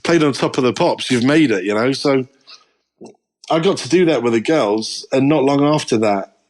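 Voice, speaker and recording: A man's voice: mid-range voice, moderate pace, darkish tone. A middle-aged English drummer, a guest on a podcast, telling his career story in a candid, reflective way. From England. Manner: reflective, candid storytelling with frequent "you know". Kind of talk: podcast interview.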